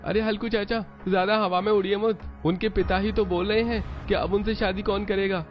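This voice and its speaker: A man's voice: sits high, fairly even intonation, moderate pace, voice pushed up, natural voice. A man voicing an earnest, pleading young city man in a dramatic cartoon story. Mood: protesting. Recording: dull sound under loud music.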